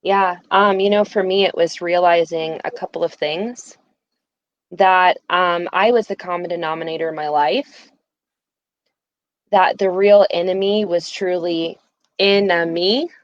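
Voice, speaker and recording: A woman's voice: fairly low pitch, fairly even intonation, unhurried, dry recording. Woman host, eager and emphatic, dull lo-fi sound. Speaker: podcast host. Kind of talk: casual spiritual conversation.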